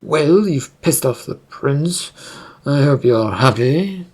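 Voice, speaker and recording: A narrator voicing a gruff, defensive captain of a city guard, curt and formal, in a fantasy story. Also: medium pitch, animated, slow pace, a little mellow. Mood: angry.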